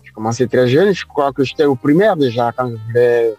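A man's voice: medium pitch, expressive, brisk, darkish tone, dry recording, muffled recording, soft background music. A man in his forties with an Ivorian accent, answering interview questions hesitantly, with many fillers and repeated words. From Ivory Coast. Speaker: guest artist.

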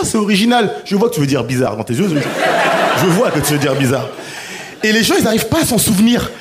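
A man in his thirties with a Paris-area French accent performing a self-deprecating stand-up routine straight to a live audience. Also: high voice. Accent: French, Paris region. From Paris suburbs, France. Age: 30s. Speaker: stand-up comedian. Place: live comedy stage. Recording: live stage show with audience laughter. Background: laughing audience.

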